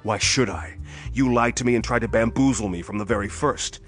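An actor playing a tough, suspicious police detective, blunt and hard-boiled, in a pulp thriller audio drama. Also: male voice, mid-range voice, a little fast, faint music behind, lightly acted. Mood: stern, accusing.

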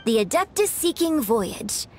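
High-voiced, graceful young opera singer for a game, poised and teasing. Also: female voice, big pitch swings, measured pace, dark tone, slight background noise. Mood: fond. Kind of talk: game voice acting. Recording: game audio with faint music behind.

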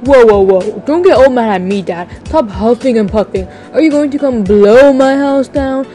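A woman's voice: fairly high pitch, moderate pace, dark tone, faint music behind, lightly acted. A woman playing an earnest, exasperated teenage son, pleading emphatically in an amateur game roleplay. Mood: protesting.

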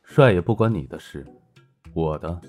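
A man performs a cool, commanding older brother in an audio drama. The voice is low and dark, and he speaks in laconic, deadpan phrases. Soft music sits behind it. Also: moderate pace. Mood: cool, possessive.

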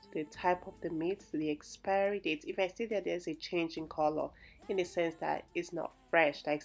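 A woman's voice: deep voice, gravelly, darkish tone, slightly rough. A young woman vlogging about her grocery shopping in a chatty, explanatory way, with lots of fillers. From Nigeria. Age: young adult. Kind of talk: casual shopping vlog narration.

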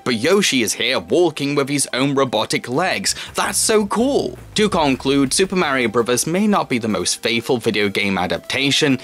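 A man's voice: mid-range voice, fairly bright tone. A young man narrating a scripted review in an enthusiastic, emphatic manner. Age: young adult. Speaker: film reviewer, video host. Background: background music.

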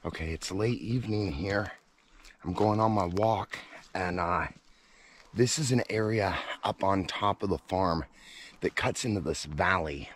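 A man's voice: sits low, unhurried. A man in his forties talking casually to his handheld camera outdoors. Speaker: vlogger and farm worker. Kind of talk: casual outdoor vlog narration. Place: outdoors on a farm and hillside. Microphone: handheld camera at arm's length. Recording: noisy handheld outdoor recording.

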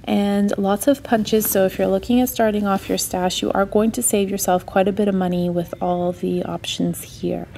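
Chatty woman with a gravelly creak. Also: mid-range voice, steady tone, normal speed, few pauses, mellow, slight background noise. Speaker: craft shopping vlogger. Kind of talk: casual shop-with-me narration. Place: indoors, in a store aisle.

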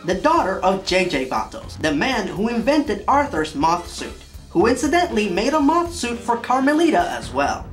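Male host, 30s, emphatic scripted reviewer, lively pitch swings over faint music. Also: moderate pace, hardly any pauses. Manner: theatrical, emphatic. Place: small indoor studio room.

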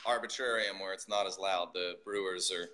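Brewery owner in his thirties on a video call; bright, low voice, loose halting delivery. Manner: relaxed, halting, with restarts. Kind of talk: casual interview on a video call. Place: brewery building, indoors. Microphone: video call, phone or laptop camera.

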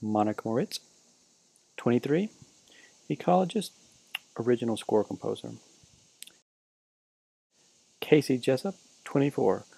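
A man giving deadpan mock-documentary narration, reading out a team roll call for a class parody film. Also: medium pitch, measured pace, creaky, long pauses, breathy edge, no echo, rough recording.

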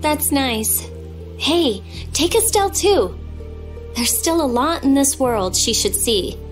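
A woman's voice: high voice, lively intonation, soft background music. A woman voicing a prickly but secretly affectionate teenage girl researcher in a video game, blunt and awkward. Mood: guarded, sincere. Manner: blunt, halting when embarrassed. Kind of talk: video game cutscene acting.

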